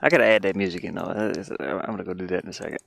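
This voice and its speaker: A man thinking aloud in a casual, halting mutter as he works on a game at his computer, talking mostly to himself. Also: deep voice, creaky, fairly bright tone, dry recording. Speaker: hobby game developer. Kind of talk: casual think-aloud screen-recording commentary.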